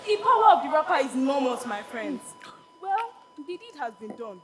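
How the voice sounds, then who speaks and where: A wary, doubting chief, acted on stage by a young performer. The high voice is lively in pitch, questioning and theatrical. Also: normal speed, crowd noise. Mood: resigned. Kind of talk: stage play acting, dialogue.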